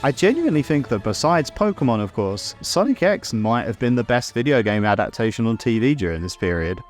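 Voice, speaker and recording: A man with an average-pitched voice narrates a scripted video essay. He is wry and opinionated and drops in casual asides, while music plays behind him. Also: big pitch swings, a little fast. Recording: music under the voice. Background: background music.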